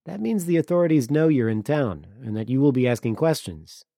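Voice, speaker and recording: A man performs a world-weary Crimean local for an audiobook. He is cynical and guarded, pressing his points bluntly in a bright, forward voice with a dry sound. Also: mid-range voice, very animated, brisk, gravelly, no echo, voice pushed up. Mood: cynical warning. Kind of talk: audiobook character dialogue.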